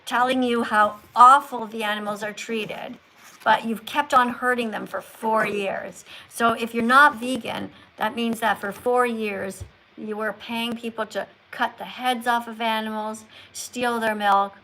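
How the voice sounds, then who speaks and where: A woman with a fairly high voice hosts a live call-in chat. She speaks like someone giving a lesson, in short emphatic statements, and presses with pointed questions. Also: steady tone, a little slow, pushed and bright, slight background noise, rough recording. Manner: probing, presses callers with follow-up questions. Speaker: livestream host.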